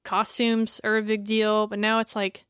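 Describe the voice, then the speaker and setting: A woman's voice: mid-range voice, completely flat delivery, moderate pace, fairly bright tone, smooth, no room sound, studio quality. A woman co-hosting a podcast, chatting casually and rambling with lots of fillers. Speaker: podcast co-host. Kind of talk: casual chat.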